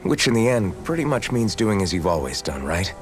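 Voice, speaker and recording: A man playing a steady, supportive young swordsman in a video game, plain-spoken and reassuring. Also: on the low side, fairly quick. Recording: music in background. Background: background music.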